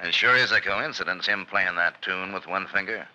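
An actor playing a wary, scheming American outlaw boss in an old radio western. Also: male voice, deep voice, moderate pace, lightly acted. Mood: menacing. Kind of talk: 1940s-50s radio western acting. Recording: muffled, noisy vintage radio recording.

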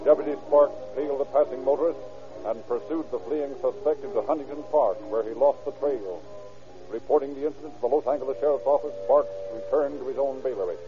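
A man narrating a vintage radio crime drama in a formal, scripted documentary style. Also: medium pitch. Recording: muffled old radio broadcast, music behind. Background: music.

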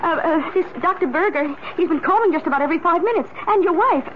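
A very high, flustered secretary. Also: female voice, quick pace, a little hiss or hum. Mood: flustered, deferential. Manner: hesitant, timid. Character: flustered, deferential female office secretary. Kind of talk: 1970s radio drama acting. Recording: thin, phone-like old radio sound.

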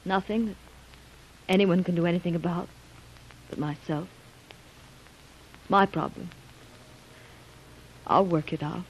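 A woman's voice: on the low side, steady tone, measured pace, pauses often. An actress playing a strong-willed, overwrought woman nursing patients, stammering and insistent in 1930s radio drama style. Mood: agitated, defensive. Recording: muffled noisy vintage radio recording.

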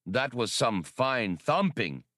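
A man's voice: big pitch swings, takes it slowly, fairly bright tone, dry recording, clean studio sound. A man voicing a big, battle-scarred alien swimmer bull in an audiobook, proud and boisterous, who speaks grandly of himself. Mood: jovial, hearty. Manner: booming, boastful, speaks of himself in third person.

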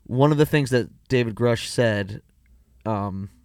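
A man's voice: mid-range voice, a little slow, clean tone, no echo, studio quality. A young man co-hosting a podcast, reading listener questions and joking around in loose, profane chat. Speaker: podcast co-host.

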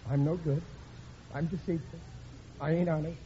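An actor playing a blundering, guilt-ridden working-class husband in 1940s radio comedy, flustered and plaintive with a stammer. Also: male voice, a little high, little pitch movement, moderate pace, warm tone, lightly acted. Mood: guilty, self-pitying. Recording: muffled, noisy old radio recording.

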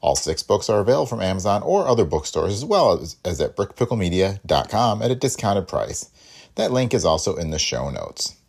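A man's voice: low voice, very animated, fairly quick, vocal fry, a little mellow, breathy edge. A man hosting a podcast, reading a scripted introduction. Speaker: podcast host.

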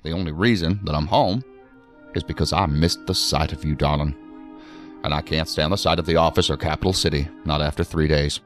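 Tired agency director guy, real low voice, dry n articulate, sci-fi audio drama, faint music. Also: fairly even intonation, a little fast. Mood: affectionate, tired. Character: weary, wry male assistant director, devoted husband. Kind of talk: science fiction audio drama acting.

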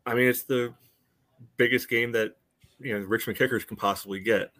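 A man's voice: mid-range voice, fairly even intonation, normal speed, fairly bright tone, dry recording. A man giving casual sports analysis on a podcast, rambling along and peppering it with filler phrases. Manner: rambling, peppered with "you know".

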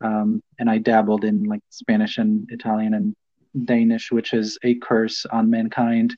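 A man, co-hosting a casual podcast, speaking hesitantly with many pauses and restarts, self-deprecating. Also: lowish voice, completely flat delivery, mellow, muffled recording. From Poland. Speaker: podcast co-host.

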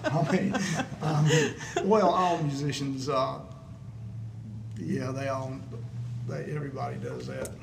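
A man's voice: mid-range voice, big pitch swings, unhurried, gravelly. An older man hosting a casual chat about singing, rambling easily and laughing often. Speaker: host. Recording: noisy, distant from the mic.

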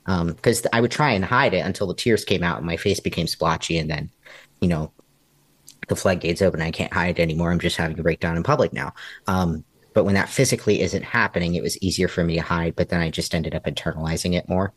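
A young man speaking as an interview guest, thinking out loud with many pauses and ums. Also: deep voice, husky, no room sound. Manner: hesitant and reflective, many ums. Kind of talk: candid personal interview.

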